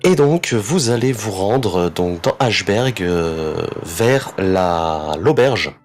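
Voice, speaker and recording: Low-voiced man narrating as game master. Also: expressive, slight background noise. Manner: descriptive, measured narration with fillers. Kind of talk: narrating a tabletop role-playing game.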